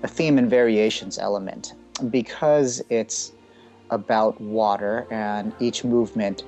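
A man's voice: on the low side, steady tone, unhurried, a little mellow, soft background music. A man being interviewed as a composer, speaking casually and thoughtfully, hedging with lots of "sort of" and "um". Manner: thoughtful, hedging, with many fillers.

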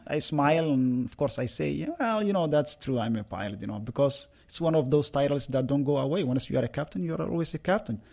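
A man's voice: medium pitch, gravelly, a little mellow. Reflective Somali man in his forties. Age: 40s. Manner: reflective, many filler uhs. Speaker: interviewee, former pilot. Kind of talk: recorded personal account.

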